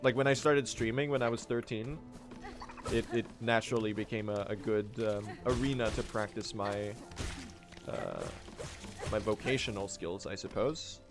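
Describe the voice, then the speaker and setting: A late-teen man from Sweden, a native Swedish speaker, chatting casually on a gaming livestream in a rambling, joking way with sudden excited outbursts. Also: on the low side, moderate pace, music in the background. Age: late teens. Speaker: streamer.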